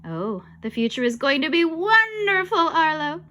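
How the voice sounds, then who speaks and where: An eerie, bossy ghost girl acted by a woman for a children's storybook. The words are slow and stretched, with a commanding, spooky edge and a bright tone. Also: on the low side. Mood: gleeful. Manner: spooky, drawn-out delivery. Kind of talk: children's audiobook character voice.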